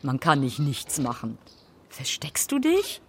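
A woman's voice: low voice, wildly swooping pitch, measured pace, very noisy, heavily acted. A woman playing a weary, sardonic mother of two in a naturalistic radio play. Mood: brisk.

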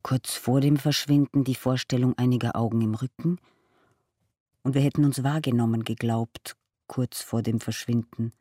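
A man reciting literary prose for a radio sound piece, solemn and measured, in short phrases. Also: medium pitch, steady tone, warm tone, dry recording, clean studio sound, far from the mic. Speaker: reader of poetic prose. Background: music.

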